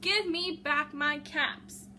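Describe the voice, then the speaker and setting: Woman playing a loud, high-pitched storybook peddler man. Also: slight background noise, heavily acted. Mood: angry, scolding. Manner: drawn-out, emphatic, sing-out delivery. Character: cap peddler, a man, calling wares and scolding. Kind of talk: children's storybook read-aloud.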